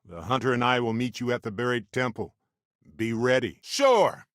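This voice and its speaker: An actor playing a wry, good-humored space explorer companion in a video game, talking in an expressive, conversational way. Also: male voice, medium pitch, moderate pace, no room sound, studio quality, close to an everyday voice. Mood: resolute. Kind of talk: video game character voice lines.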